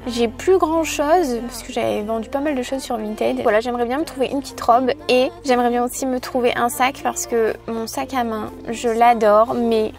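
A young adult woman, chatting casually to the camera as a vlogger. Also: on the high side. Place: small furnished room at home, later inside a parked car. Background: background music.

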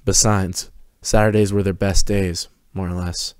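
A man reads a story as narrator in a low, level voice. The delivery is scripted and measured in tone, and the sound is dry and close. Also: little pitch movement, darkish tone, clear, resonant tone, dry recording.

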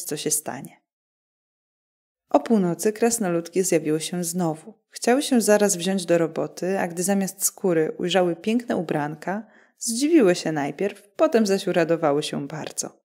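A woman's voice: on the low side, warm tone. A woman reading a scripted fairy tale as a bedtime story narrator.

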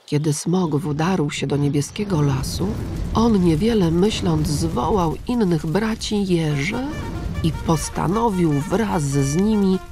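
A woman voicing a tired, kind old grey male pigeon who calmly reassures a child in a storybook. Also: lowish voice, takes it slowly, over music. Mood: reassuring. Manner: calm, gentle and reassuring.

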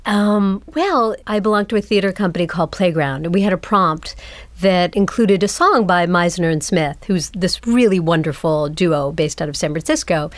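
A woman's voice: fairly low pitch, no echo. A woman playwright explaining how she came up with her play, easygoing and chatty. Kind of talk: casual interview answer.

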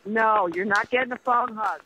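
Bright-voiced middle-aged mother on a call. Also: fairly high pitch, normal speed. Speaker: mother taking a phone call. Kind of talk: playful casual chat. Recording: phone call with faint noise.

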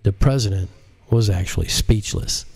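A man's voice: lowish voice, moderate pace, darkish tone, breathy edge. A man reading his own novel aloud as an audiobook narrator on a live stream.

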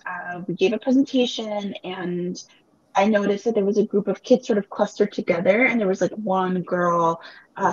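A woman's voice: medium pitch, dull audio. Spanish-native woman author on a video call. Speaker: author guest. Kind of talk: virtual book club Q&A. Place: home room, video call. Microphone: webcam or laptop mic on video call.

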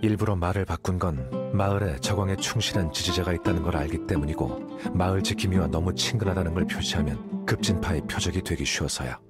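A man playing a calm, aloof young scholar in a Korean game, explaining things in a composed, matter-of-fact way. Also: deep voice, a little fast, loud music behind the voice, lightly acted. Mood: composed, detached. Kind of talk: Korean game dialogue voice acting.

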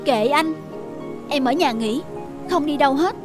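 A woman voicing a young city wife, grumbling but soft-hearted, in a cartoon family drama. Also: high-pitched, animated, unhurried, music in the background. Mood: tired, grumpy. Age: young adult.

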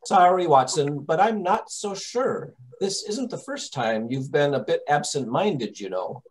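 A man playing a shrewd, composed English gentleman detective in old-time radio mystery style, formal and precise. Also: a little high, animated, measured pace, poor audio. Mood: composed, pointed.

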